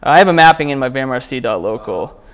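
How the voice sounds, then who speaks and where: A man presenting at a programming meetup in a casual, conversational, somewhat halting way as he walks through a live demo. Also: mid-range voice, lively intonation, a bit bright. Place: indoor meetup room, speaking before a small group.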